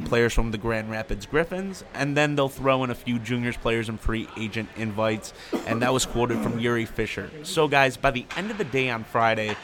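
A man's voice: medium pitch, fairly bright tone. Young guy hosting sports radio, reading his report, some background noise from the arena. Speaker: sports radio show host. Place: on location at hockey training camp arena.

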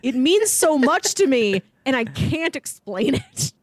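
A woman chats as co-host of a film podcast in a fairly high voice. She is earnest and explanatory, talking casually. The sound is close and dry. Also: very animated, a little slow, no echo.

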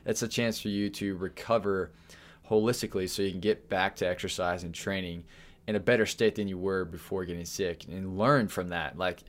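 A man with a very low voice hosts his own podcast. He talks loosely and informally, full of ums, uhs and false starts as he works through his points. He sounds like a young adult chatting off the cuff. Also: fairly even intonation, fairly quick. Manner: rambling, frequent fillers and restarts. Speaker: podcast host. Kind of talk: casual solo podcast talk.

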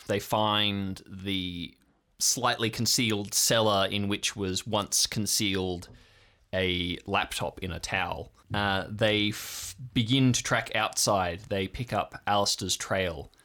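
A man narrating an improvised audio drama as game master, setting the scene in a measured way. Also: lowish voice, steady tone, bright, forceful tone.

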